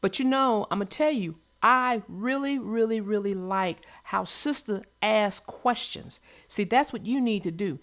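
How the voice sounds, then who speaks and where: A woman's voice: mid-range voice, unhurried, hardly any pauses, fairly bright tone. A woman co-hosting a casual chat about a children's story, emphatic and given to offering advice. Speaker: read-aloud show co-host.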